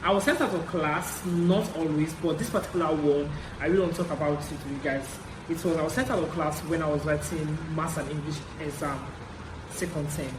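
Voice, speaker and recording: A woman's voice: deep voice, fairly even intonation, a little fast. Young nigerian woman chatting storytime style, repeats stuff for emphasis, echoey room, noisy background. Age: young adult. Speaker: vlogger. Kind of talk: casual confessional storytelling.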